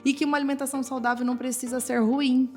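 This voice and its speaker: A woman's voice: high-pitched, little pitch movement, very fast, a little mellow, faint music behind. A woman with a Brazilian Portuguese accent, a school nutritionist speaking as a podcast guest and explaining things step by step with frequent tag questions. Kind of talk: casual podcast conversation.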